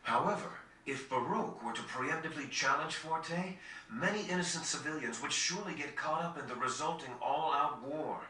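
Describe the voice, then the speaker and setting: A man playing an earnest, formal noble leader with a troubled, measured delivery in a video game cutscene. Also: on the high side, pushed and bright. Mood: grave. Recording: roomy, distant game capture with faint noise.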